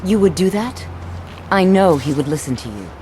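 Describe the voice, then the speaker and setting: A woman playing a worried, protective village mother in a video game, speaking in an earnest, measured way. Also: lowish voice, animated, background noise, natural voice. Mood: earnest pleading. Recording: music over game audio.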